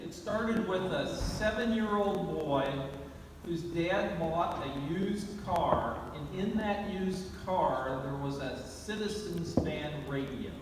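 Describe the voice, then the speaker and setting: Male award presenter, slightly high voice, telling a story deliberately in a noisy, reverberant hall. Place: large banquet or awards hall over PA. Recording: very echoey hall, distant mic, noisy.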